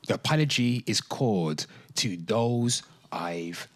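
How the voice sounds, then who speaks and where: A man hosting an online show, giving a dramatic reading with off-the-cuff commentary, drawn-out exclamations and asides to his listeners. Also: fairly low pitch, expressive. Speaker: host of an online pop culture show. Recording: music playing under the voice. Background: music.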